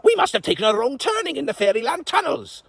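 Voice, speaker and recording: A man's voice: very high voice, very animated, fast talker, gravelly, no echo, pitched above the performer's own voice, full character voice. A man voicing a hot-headed lord who thunders his protests. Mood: indignant. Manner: thundering, indignant. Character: hot-headed lord, male adult, usually quiet.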